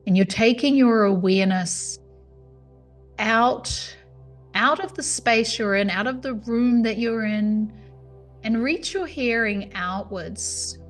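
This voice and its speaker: A woman leading a guided yoga nidra meditation in a calm, soothing, unhurried way. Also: a little high. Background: music.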